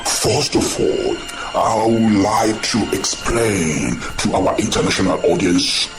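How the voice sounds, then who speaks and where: A man's voice: on the low side, slow. A man playing a proud, pompous chief who lectures and takes offense over his title. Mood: lecturing. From Nigeria. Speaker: film distributor and producer. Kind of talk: amateur radio drama acting. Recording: echoey room with background music.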